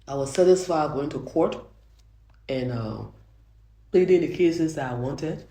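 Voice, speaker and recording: An older woman with a Liberian accent and a soft voice, a judge answering interview questions earnestly, often restarting her sentences. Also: low voice, a little slow. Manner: earnest, frequent restarts, grows emphatic. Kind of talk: interview answers, reflective storytelling. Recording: roomy echo.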